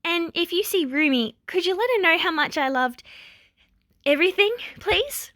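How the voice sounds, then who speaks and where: A woman's voice: very high voice, lively intonation, moderate pace, bright, forceful tone, no room sound, studio quality, pitched above the performer's own voice, exaggerated voice. A woman voicing a composed young woman held hostage, a protective big sister, polite but firm with emotion held back. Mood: forced cheer. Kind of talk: dramatic fan fiction reading.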